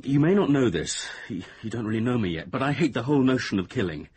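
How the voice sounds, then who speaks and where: An actor in a radio drama playing a sensible young man, a boyfriend with a breathy, husky voice and a conversational manner. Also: medium pitch, lively intonation, fast talker, a little mellow, a little hiss or hum, close to an everyday voice. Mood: dismayed. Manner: conversational, placating, turning exasperated.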